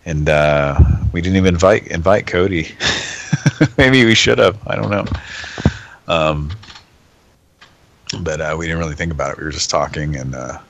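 Host: very low, gravelly man, congested, rambling. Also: fairly bright tone, a little hiss or hum. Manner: rambling, halting. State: stuffed up from a cold. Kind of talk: casual live show chat.

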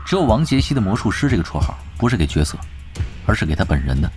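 An actor playing a knowledgeable male gamer calmly explaining matters to a friend. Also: on the low side, quick pace, faint music behind. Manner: explanatory, relaxed.

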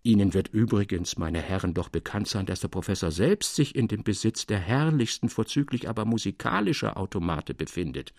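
A man voicing an elderly gentleman in a literary tale, speaking in a courteous, formal, old-fashioned manner. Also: fairly low pitch, expressive, fairly quick, few pauses, no echo, clean studio sound. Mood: confiding, assured. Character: elderly, politely formal gentleman, quietly knowing storyteller.

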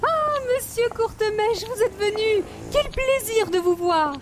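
A woman's voice: extremely high pitch, lively intonation, a little slow, noisy background. A woman playing an affected, snobbish older hostess with a Quebec French accent and precious, formal diction, in radio comedy style. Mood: gracious, affected. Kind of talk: old-time radio comedy acting.

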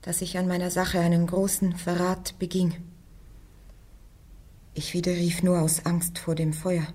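Young female prisoner, stubborn, defiant, huskyish low voice, radio drama. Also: level tone, unhurried, dark tone. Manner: plain-spoken, stubborn. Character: defiant young woman prisoner on trial for heresy. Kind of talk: German radio play acting.

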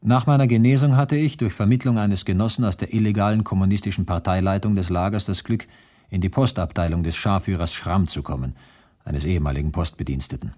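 A man's voice: low-pitched, fast, a little mellow. An actor playing a male camp survivor who recounts his ordeal soberly and precisely in a radio drama monologue. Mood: matter-of-fact.

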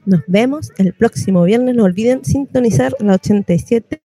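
A woman's voice: on the high side, normal speed, mellow. A woman with a Chilean accent hosting an informal community radio show in a colloquial, familiar way. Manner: colloquial, addresses listeners familiarly. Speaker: radio host.

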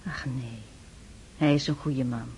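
An actress playing a calm, reassuring woman friend in a radio play. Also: a bass voice, unhurried, a little hiss or hum. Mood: gentle. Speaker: Maria, a woman. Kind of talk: radio drama acting.

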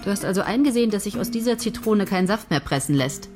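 A woman's voice: medium pitch, animated, fast, over music, natural voice. An actress playing a dry, sarcastic woman who runs a television writing team in a radio drama.

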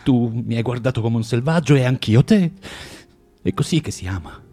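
An actor playing a bitter, self-loathing drinker who moralizes haltingly and insistently in a radio drama. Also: male voice, mid-range voice, expressive, dark tone, lightly acted. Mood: pleading, moralizing. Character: bitter, preachy, self-loathing male drinker lecturing a young prostitute.

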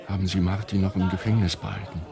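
Tiefer, knarriger, müder Mann. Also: male voice, low voice, level tone, fast, vocal fry. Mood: grim, resigned. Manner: grim, terse. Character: weary friend bringing bad news of an arrest. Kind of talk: radio drama dialogue. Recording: crowd chatter behind.

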